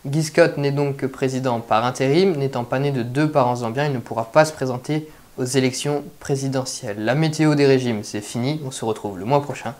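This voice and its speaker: A man's voice: medium pitch, brisk, few pauses, darkish tone. A young man anchoring a student news bulletin, with a formal, scripted delivery.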